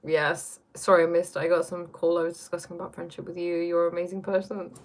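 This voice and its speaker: A woman's voice: fairly low pitch, level tone, quick pace. A young woman hosting a casual livestream, reading viewer questions aloud and answering them on spirituality. She hesitates often and fills her speech with "like" and "um". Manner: hesitant, lots of fillers and "like", reads comments aloud.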